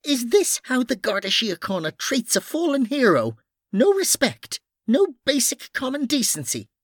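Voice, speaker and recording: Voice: mid-range voice, very animated, moderate pace, dry recording, clean studio sound, voice pushed up. A performer voicing an indignant woman veterinarian, nervous but fiery, with an emphatic, scolding manner in an audiobook.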